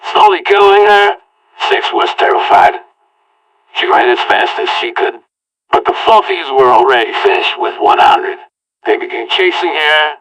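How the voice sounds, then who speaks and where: A man's voice: on the high side, a bit bright. A man telling a homemade audio-log story in the first person, with an earnest, rambling delivery. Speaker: narrator. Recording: voice far from the mic.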